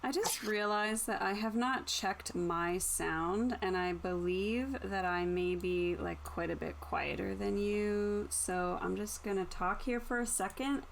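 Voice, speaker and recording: Female co-host, medium pitch, informal and chatty livestream delivery, slight noise behind the voice. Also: a little slow, gravelly, few pauses. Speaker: channel co-host. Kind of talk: casual chat on a livestream. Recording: faint background noise.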